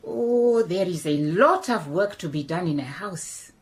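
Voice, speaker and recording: A composed, shrewd middle-aged woman in a radio play , played by a woman. She speaks in a fairly low, measured voice with a dry edge. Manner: calm, measured, dryly pointed. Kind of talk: radio drama acting.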